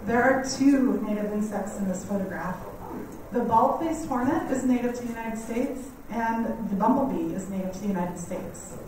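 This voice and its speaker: A woman in her thirties with a quiet, slightly scratchy voice gives a friendly, chatty talk in a lecture hall, laughing often. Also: fairly high pitch, moderate pace. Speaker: guest speaker on native bees. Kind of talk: community lecture. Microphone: standing mic heard from a distance. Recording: echoey hall, mic far off, faint noise.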